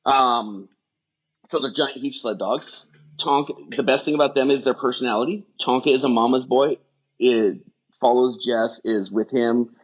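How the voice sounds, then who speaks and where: A middle-aged man, a guest on a podcast, talking in long, rambling run-on stretches in casual conversation. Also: mid-range voice. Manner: rapid, rambling run-on talk. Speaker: guest, firefighter rehab specialist. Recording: dull lo-fi audio.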